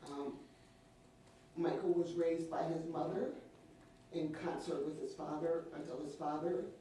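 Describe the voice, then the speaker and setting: Female witness, precise formal testimony, mellow tone, very roomy courtroom sound. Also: low-pitched, fairly even intonation, unhurried. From Wilmington, Delaware, USA. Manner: careful, precise, formal phrasing. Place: large indoor courtroom. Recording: distant echoey courtroom, faint noise.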